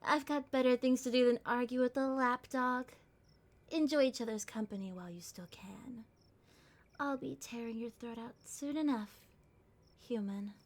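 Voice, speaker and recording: A woman's voice: a little high, moderate pace. A woman voicing a haughty, menacing vampire schoolgirl in an ASMR roleplay, sneering and theatrical. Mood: taunting, menacing.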